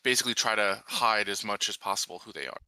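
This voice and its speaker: A man's voice: lowish voice, fast, pushed and bright, dry recording. A man casually talking through plans as a player in a tabletop role-playing game.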